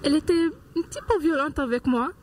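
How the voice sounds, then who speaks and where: A woman's voice: sits high, slight background noise. A woman from Morocco who speaks with hesitations, telling a personal story in a street interview. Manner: halting, searching for words.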